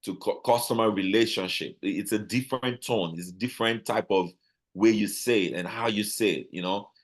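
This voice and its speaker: A man with a Nigerian accent, a guest talking casually in an interview, animated and full of restarts and repeated words. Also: lowish voice, fairly quick. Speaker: guest, business owner.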